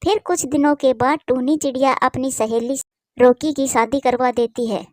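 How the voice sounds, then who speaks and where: A woman's voice: sits high, fairly even intonation, moderate pace, a little mellow, dry recording, clean studio sound. A woman reading scripted narration for a children's cartoon story.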